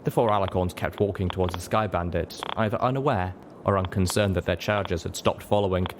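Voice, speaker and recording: Low-pitched man reading a dramatic story. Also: a little fast, background noise. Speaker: narrator and reader. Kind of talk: dramatic audiobook narration.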